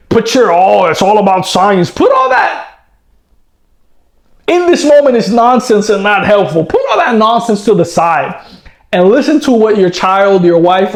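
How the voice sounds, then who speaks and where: Male horror reviewer, higher-pitched and rambling, recorded in a roomy, echoey space. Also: a little mellow. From Puerto Rico. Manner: rambling, restarts with "right?" and "bro". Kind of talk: casual rant to camera.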